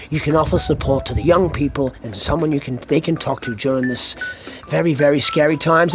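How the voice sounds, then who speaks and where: A Scottish man with a notably high voice delivers a comic rant straight to his listeners. He is theatrical and foul-mouthed, leaning on colourful slang. Music plays clearly beneath him. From Scotland. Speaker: podcast host. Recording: music under the voice.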